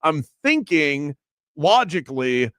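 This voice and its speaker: A man's voice: a little high, sing-song, takes it slowly, fairly bright tone, dry recording. A man hosting a sports radio show from the studio, chatting casually and teasing his producer. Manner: chatty, teasing, thinks aloud. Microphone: studio mic.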